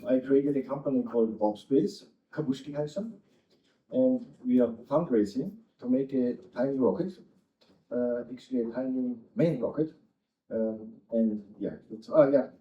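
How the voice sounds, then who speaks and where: Halting Austrian man at a panel. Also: medium pitch. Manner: hesitant, halting phrasing. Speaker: panelist, startup founder. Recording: echoey room, distant dull mic.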